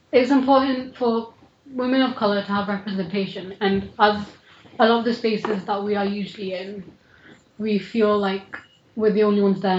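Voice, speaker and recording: A woman's voice: mid-range voice, darkish tone, slight background noise. A woman, talking earnestly in a casual podcast discussion, often saying "like". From England (Manchester). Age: 20s. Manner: earnest, frequent "like" fillers. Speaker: podcast participant. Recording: roomy, dull lo-fi recording.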